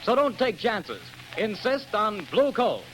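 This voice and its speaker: A man announcing a sponsor's message on an old radio show in a booming, emphatic way. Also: very animated, slow pace, fairly bright tone, a little hiss or hum, rough recording. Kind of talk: 1930s radio advertisement announcing. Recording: old radio recording with music.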